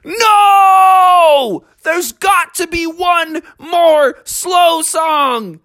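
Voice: mid-range voice, lively intonation, a little slow, a bit bright, dry recording, studio quality, pitched above the performer's own voice. A storyteller voicing an earnest, clumsy young male frog who stammers, pleads and gets frantic. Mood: frantic, shouting.

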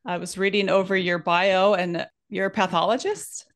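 A woman's voice: mid-range voice, steady tone, moderate pace. A woman hosting a dental podcast, upbeat and chatty, joking with her guest. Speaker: podcast host, dental hygienist turned consultant.

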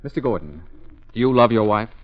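An actor playing a slick, scheming private investigator in an old radio comedy-mystery, sweet-talking, bossy and prone to stammering bluster. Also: male voice, fairly low pitch, lively intonation, fairly quick, natural voice. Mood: smooth pleading. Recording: dull vintage radio recording, faint noise.

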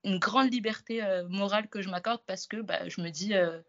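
A woman's voice: medium pitch, normal speed, fairly bright tone, lo-fi sound. A woman in her twenties from France, a guest telling her story in a casual interview. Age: 20s.

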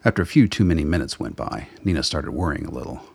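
A man's voice: very deep voice, lively intonation, fast talker, vocal fry, husky, no echo. A man narrating a scripted story for a radio play.

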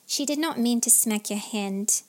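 A woman's voice: a little high, measured pace, darkish tone, lightly acted. Woman as an earnest, anxious little animal friend. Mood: nervous.

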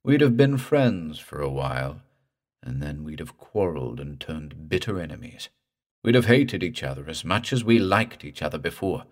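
Male narrator as undead drowned man, deep guttural gurgle, slow creepy drawl, implacable, horror storybook. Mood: cold, bitter.

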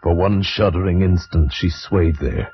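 An actor playing a grave, horrified narrator in a 1940s radio horror drama, with ornate theatrical delivery. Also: male voice, low voice, unhurried, noisy background. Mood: grave, dramatic. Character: horrified male narrator, friend of a doomed gentleman. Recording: muffled vintage 1940s radio recording, orchestral music. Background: loud dramatic music.

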